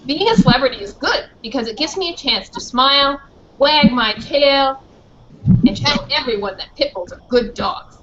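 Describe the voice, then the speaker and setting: A woman's voice: sits high, animated, normal speed. A woman playing a proud, earnest pit bull dog who narrates his own story in a school play. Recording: muffled, noisy school performance recording.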